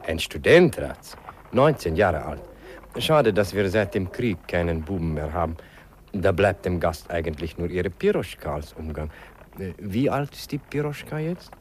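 A kindly old country doctor. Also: male voice, deep voice, animated, normal speed, a little hiss or hum. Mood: friendly. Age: older.

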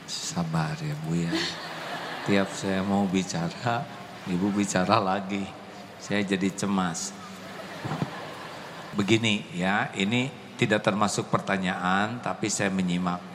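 Slow-speaking preacher in a reverberant hall. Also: male voice, low voice, steady tone, noisy background. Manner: gentle, rising to impassioned exclamations. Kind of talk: sermon with Q&A.

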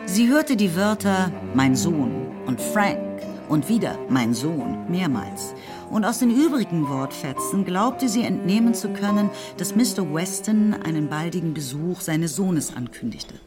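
Woman narrating over music. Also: on the low side. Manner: measured, expressive. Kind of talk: scripted narration of a radio play.

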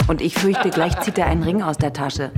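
Music and guitar play behind an actress voicing a wary woman's inner monologue in a radio drama. She speaks in short, literary sentences, guarded and quietly mistrustful. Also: sits low, brisk, natural voice. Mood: guarded, tender.

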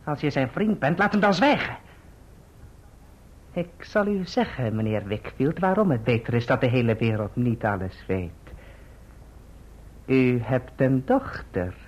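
An actor in a radio play as a scheming clerk, falsely humble, insistent and brazenly triumphant, with a husky voice. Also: male voice, mid-range voice, animated, unhurried, pauses often, darkish tone. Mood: menacing. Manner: oily, mock-deferential, insistent. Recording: muffled old radio play recording.

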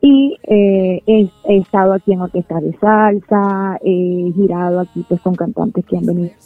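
A woman's voice: on the low side, steady tone, normal speed, no echo, faint music behind. A Venezuelan woman who sings in a band, talking in a casual radio interview, hesitant with many fillers and restarts. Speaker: singer of a band, guest. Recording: tinny phone-like line.

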